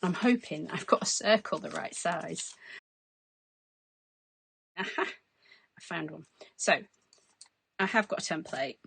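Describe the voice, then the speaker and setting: A woman in her forties, hoarse from losing her voice, chatting through a craft demonstration at her desk. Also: fairly low pitch, slow, pauses often, a bit bright, dry recording. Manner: chatty, explains as she works. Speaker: craft tutorial host. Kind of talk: casual step-by-step crafting demonstration. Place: craft desk indoors, overhead camera.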